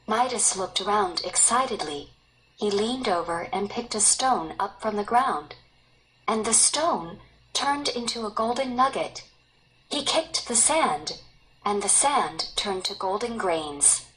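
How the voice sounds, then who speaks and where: Female storyteller, unhurried and expressive scripted read-aloud, mid-range pitch. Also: low-quality recording. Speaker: story narrator.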